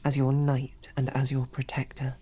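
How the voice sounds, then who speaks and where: A woman voicing a devoted, protective female royal knight in a whispered audio roleplay, with soft, earnest questioning. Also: rumbling low, monotone, normal speed, faint music behind. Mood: earnest, pleading.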